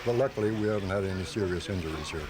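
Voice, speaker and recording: A man answering questions in a radio interview, explaining his hobby in a measured, somewhat hesitant way. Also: low voice, crowd noise. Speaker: interviewed hobby club member. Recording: busy outdoor radio taping.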